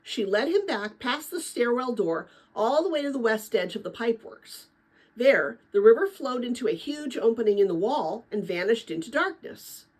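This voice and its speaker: A woman narrating a children's adventure novel as a dramatic read-aloud, with expressive, deliberate phrasing. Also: a little high, rough recording. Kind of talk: dramatic storybook read-aloud for middle-grade listeners.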